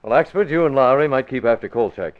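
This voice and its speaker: An actor playing a composed, authoritative newspaper publisher with a measured, decisive manner, in 1940s radio style. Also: male voice, mid-range voice, animated, natural voice. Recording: muffled vintage 1940s radio recording.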